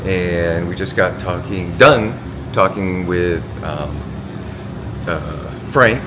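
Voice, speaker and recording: A man's voice: sits low, takes it slowly, background noise. A man hosting a vlog, talking casually and hesitantly, often repeating words. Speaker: vlog host. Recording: music under the voice. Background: background music.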